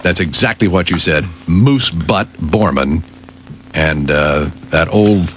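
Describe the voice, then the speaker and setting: A man's voice: deep voice, takes it slowly, a bit bright, a little hiss or hum. An American man on a radio show, bantering as a sidekick in an emphatic, insistent manner.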